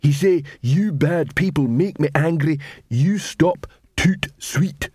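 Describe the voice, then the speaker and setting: A man acts out a big troll watchman from a fantasy audiobook, raised in pitch and recorded dry. He is dim but earnest and blunt. His broken sentences come out halting and laboured. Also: darkish tone, slightly rough, dry recording. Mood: gloomy.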